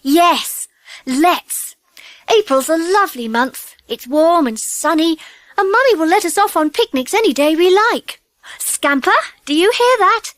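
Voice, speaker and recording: Very high, lively schoolgirl. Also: unhurried, no room sound, heavily acted. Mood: cheerful, excited. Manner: girlish, pert, exclamatory. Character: lively, chatty, teasing young schoolgirl. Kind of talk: children's audiobook character acting.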